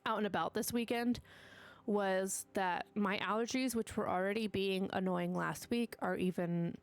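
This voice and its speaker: A woman's voice: medium pitch, steady tone, moderate pace, dry recording. A young woman streaming a game, stuffy and sniffly from allergies, chatting to herself and her dog as she builds. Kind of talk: casual gameplay commentary.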